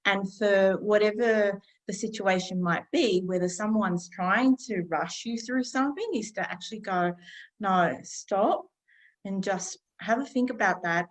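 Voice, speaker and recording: Casting: woman, 50s, interview guest, reflective and slow, lots of pauses. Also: medium pitch, poor audio. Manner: calm, unhurried, many pauses and fillers.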